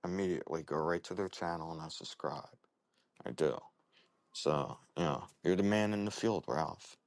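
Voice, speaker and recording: A man leaving a casual voicemail as a listener, talking haltingly in short clipped phrases. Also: deep voice, no room sound.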